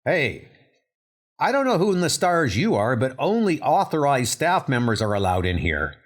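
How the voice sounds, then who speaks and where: A man's voice: medium pitch, big pitch swings, moderate pace. A man voicing a brusque, indignant janitor in a comic book dub, scolding an intruder. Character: gruff indignant museum janitor of the future. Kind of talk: comic book audio drama.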